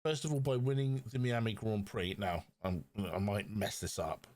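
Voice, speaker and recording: A man's voice: fairly low pitch, steady tone, moderate pace, a little mellow. A man co-hosting a podcast, talking casually through motorsport in an opinionated, explanatory way. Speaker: podcast co-host.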